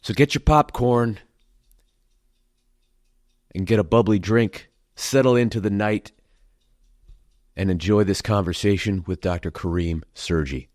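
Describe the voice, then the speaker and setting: A man with a fairly low voice hosts his podcast. He speaks in a measured, earnest way into a close mic. The sound is dry, with no room echo. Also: a little mellow. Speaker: podcast host. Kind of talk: scripted intro and interview questions.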